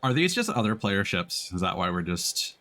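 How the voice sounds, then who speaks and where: A man's voice: fairly low pitch, sing-song, moderate pace, sharp tone, soft background music. A man streaming a video game with casual, informal, slangy commentary on a close mic. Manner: chuckles through remarks, informal slang. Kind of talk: casual live gameplay commentary.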